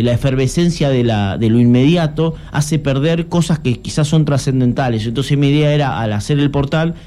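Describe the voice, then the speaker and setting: A man's voice: mid-range voice, warm tone. A young adult man with an Argentine Spanish accent, a journalist guest explaining things earnestly with frequent restarts. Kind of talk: conversational interview.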